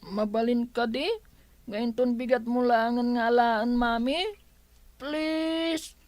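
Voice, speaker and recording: A woman's voice: fairly high pitch, steady tone, takes it slowly, a little mellow, no echo. A woman voicing a little child in a radio drama, eager and coaxing as the child pleads with a parent. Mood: pleading, eager.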